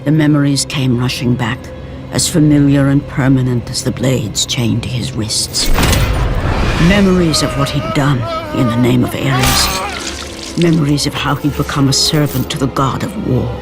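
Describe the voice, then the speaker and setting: A woman's voice: rumbling low, expressive, normal speed. A woman playing a solemn narrator of an epic Greek tragedy in a video game, grave and portentous. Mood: grave storytelling. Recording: music behind the voice.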